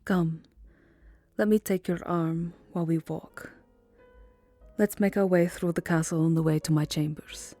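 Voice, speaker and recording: Vampire countess, played by a woman: low, mellow, lovelorn and sorrowful, soft formal delivery for ASMR roleplay. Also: fairly even intonation, a little slow, no echo, soft background music. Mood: tender, romantic. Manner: soft, hesitant, formal, often dropping into whispers. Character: lovelorn, melancholy vampire countess, burned and self-loathing. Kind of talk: ASMR roleplay voice acting, second-person romance.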